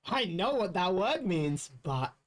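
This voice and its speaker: A man's voice: high voice, big pitch swings, a little slow. A young man who grew up speaking Slovak, chatting casually on a livestream, rambling with restarts and laughs. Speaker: livestream co-host. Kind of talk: casual chat.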